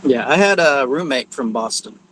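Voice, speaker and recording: A man's voice: mid-range voice, lively intonation, normal speed, fairly bright tone, a little hiss or hum, poor audio. Easygoing man in casual chat. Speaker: co-host from another channel.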